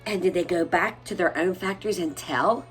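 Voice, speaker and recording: A woman voicing a curious young boy in a storybook, asking questions in a quick, eager way. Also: on the low side, faint music behind, natural voice, low-quality recording.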